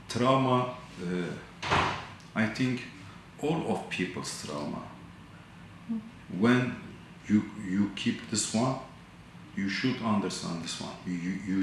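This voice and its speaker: A man from Turkey explains his artwork in halting, broken English, stopping often between words. His voice sits low and moves very slowly. The echoey gallery hall rings around him. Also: slight background noise. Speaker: artist. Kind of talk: interview about his artwork. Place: large gallery hall indoors.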